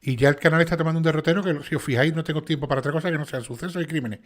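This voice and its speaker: A man with a strong Sevillian Andalusian accent, hosting a casual livestream chat and checking in often with his listeners. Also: fairly high pitch. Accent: Sevillian Andalusian Spanish. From Seville, Spain. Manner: fast, chatty, with many restarts and "¿vale?" checks. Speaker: channel host and narrator.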